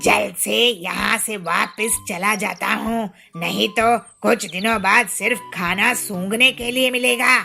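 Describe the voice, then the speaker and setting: A woman voicing a young male cartoon bird, a baffled brother-in-law who sounds gravelly and exasperated and keeps complaining. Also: medium pitch, steady tone, a little slow, hardly any pauses, bright, forceful tone, faint music behind, heavily acted. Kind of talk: cartoon voice acting.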